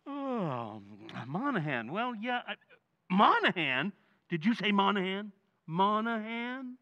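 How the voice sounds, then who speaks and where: Man acting high-pitched, flustered family man. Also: very animated, slow, sharp tone, dry recording, muffled recording, exaggerated voice. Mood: panicked. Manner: blustering, exclamatory. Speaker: radio drama actor. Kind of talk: old-time radio comedy acting.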